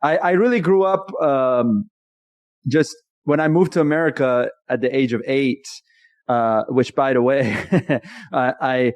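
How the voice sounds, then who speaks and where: A man in his thirties, originally from Iran, chats as a comedian guest in a jokey, animated way and slips into laughter mid-sentence. His pitch sits in a middle range. The sound is dry and close, with no room echo. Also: a little slow. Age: 30s. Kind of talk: casual interview storytelling.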